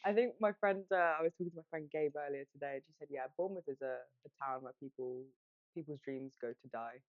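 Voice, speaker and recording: A woman's voice: deep voice, fast talker, no room sound, narrow, tinny audio. A young woman with a British accent, talking casually as a guest on a podcast. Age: young adult. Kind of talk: casual conversation. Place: podcast studio.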